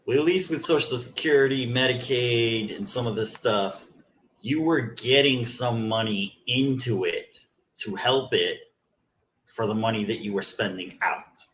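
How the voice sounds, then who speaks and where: A man co-hosting a podcast, calmly walking through his views on spending and health insurance in a casual discussion. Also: mid-range voice, a little slow, a little hiss or hum. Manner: explains at length, methodical. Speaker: podcast co-host.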